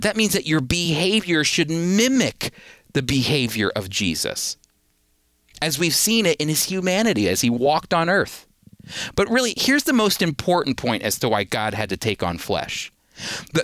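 A man's voice: a little high, a bit bright, dry recording. A man teaching the Bible in an earnest, emphatic way, often repeating a word as he starts a point.

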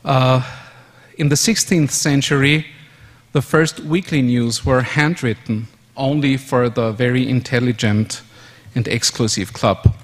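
A man in his fifties from Austria whose first language is German, giving a measured conference presentation in English with fillers and self-corrections. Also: medium pitch, steady tone. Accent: German-speaking Austrian. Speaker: conference speaker. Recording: long hall reverb.

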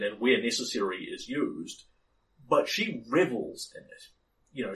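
A man's voice: mid-range voice, a bit bright, recorded from a distance, a little hiss or hum, low-quality recording. A man running a tabletop game as game master, explaining a character at length with many ums and restarts. His voice is slightly worn.

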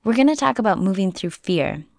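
Calm, measured female podcast host. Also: mid-range voice, gravelly, dry recording, studio quality. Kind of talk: scripted narration and interview.

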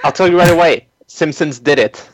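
A man's voice: fairly high pitch, a little fast, no echo. A man who is a native French speaker, chatting casually as a podcast panelist.

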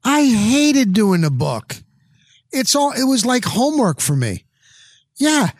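A man's voice: very high-pitched, very animated, slow, dry recording. A middle-aged man in casual sports chat, rambling along and repeating words for emphasis. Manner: chatty, rambling, emphatic repetition. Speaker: sports broadcaster.

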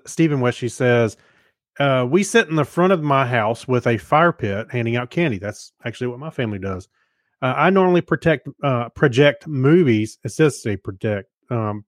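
A man's voice: medium pitch, expressive, normal speed, dry recording, studio quality. A man co-hosting a podcast, chatting casually and reading out listener messages. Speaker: podcast co-host.

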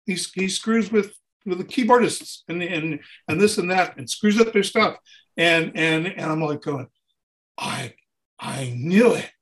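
A man with a notably high voice speaks as a guest in an interview. His style is informal and animated, like a musician swapping tour stories. He acts out what people said and repeats phrases to drive them home. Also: unhurried. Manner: acts out dialogue and sounds while telling stories. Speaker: interview guest, touring keyboard player. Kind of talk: casual animated anecdote telling.

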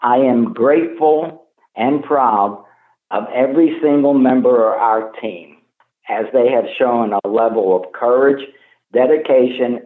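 Tinny phone audio, echo, man, company executive, formal scripted delivery, medium pitch. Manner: formal, reading from prepared remarks. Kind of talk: scripted earnings call presentation. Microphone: telephone conference call.

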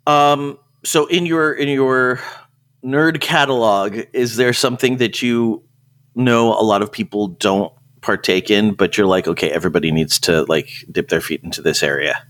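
A man with a slightly low voice hosts a podcast interview. He talks in a casual, conversational way, as an interviewer chatting with a guest. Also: moderate pace, a bit bright.

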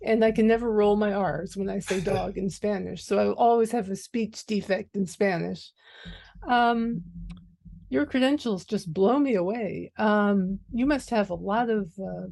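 A woman hosts a podcast, chatting with a guest and reading her own sponsor spots. Her voice is warm and mellow, and her manner is friendly and easygoing, with ready laughter. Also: medium pitch, vocal fry. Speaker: podcast host. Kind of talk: podcast interview and ad read.

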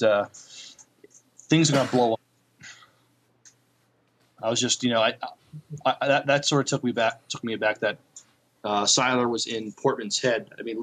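A man with a medium-pitched voice co-hosts a casual podcast about TV shows. His delivery is halting and tentative, and he often repeats words as he works out what to say. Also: a bit bright. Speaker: podcast co-host.

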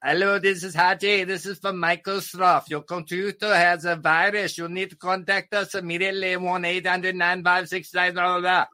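A man's voice: high-pitched, level tone, moderate pace, few pauses, pushed and bright, dry recording, clean studio sound. A man voicing an urgent, insistent phone scammer with an Indian accent in a comedy impression. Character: pushy Indian tech-support phone scammer.

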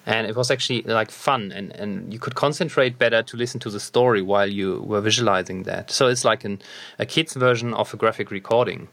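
A man's voice: lowish voice, fairly quick, vocal fry, fairly bright tone, no echo. A man hosting an interview, talking casually and hesitantly with many fillers and restarts. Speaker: podcast host.

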